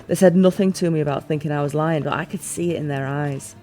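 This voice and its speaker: A woman's voice: low voice, quick pace, lightly acted. Woman acting a playful fantasy ruler over music. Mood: reflective, candid. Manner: teasing, expressive, formal phrasing with sighs and soft laughs. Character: playful, teasing female fantasy ruler at a masquerade ball. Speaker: audio roleplay performer. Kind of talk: scripted immersive audio roleplay acting.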